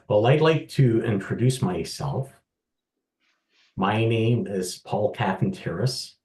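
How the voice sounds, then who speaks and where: A man in his sixties, a volunteer minister, giving a slow, measured self-introduction. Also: lowish voice, a little mellow. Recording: echoey, from far off the mic.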